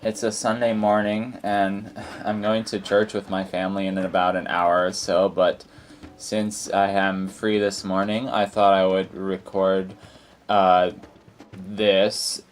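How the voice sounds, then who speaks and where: Young male let's-play host, very deep and croaky, rambly delivery, faint music underneath. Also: level tone, slow pace. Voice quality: low and croaky. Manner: rambling, many fillers and restarts. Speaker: gaming channel host. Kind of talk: casual let's play commentary.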